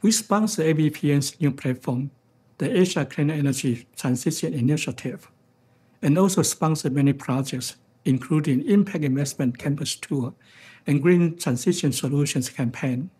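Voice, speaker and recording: A man from Taiwan with a Taiwanese accent, a financial executive delivering a prepared, formal conference keynote in measured, deliberate phrases. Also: fairly high pitch, dark tone, slightly rough.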